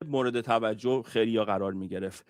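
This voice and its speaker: A man's voice: on the low side, very fast, clear, resonant tone. A man presenting conversationally, introducing a guest on a broadcast.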